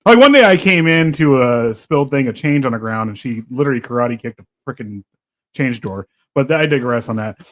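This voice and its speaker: A man co-hosts a podcast in easy banter, joking and laughing his way through stories. His voice sits at a middling pitch but swings widely and animatedly as he talks. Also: expressive, fairly quick. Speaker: podcast co-host. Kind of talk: casual chat and joking banter.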